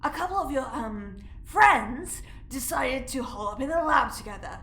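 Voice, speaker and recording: A woman's voice: high voice, expressive, moderate pace, pushed and bright, full character voice. A woman playing a weary, grumbling prison guard in an audio drama, curt and exasperated. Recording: echoey room.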